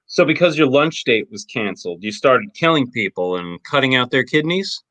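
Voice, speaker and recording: A man's voice: mid-range voice, expressive, moderate pace, bright, forceful tone, dry recording. A man reading a skeptical male detective who questions a suspect in blunt, probing lines.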